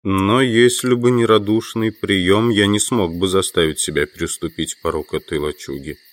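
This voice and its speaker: An actor playing a weary, educated insect collector, thinking aloud in a halting inner monologue for an audio drama. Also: male voice, low voice, no room sound, close to an everyday voice. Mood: displeased, reflective.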